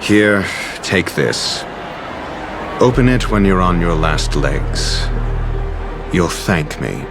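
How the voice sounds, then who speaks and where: An actor voicing a cold, sardonic male scholar doctor in a game cutscene, speaking in a dry, cutting, measured way. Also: low-pitched, expressive, heavily acted. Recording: game audio over music.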